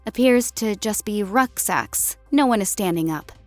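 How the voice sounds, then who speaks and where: A woman voicing a calm female military technician in an audiobook, murmuring matter-of-factly. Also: medium pitch, a little slow, no room sound, soft background music, lightly acted. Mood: calm, professional. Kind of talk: audiobook character acting.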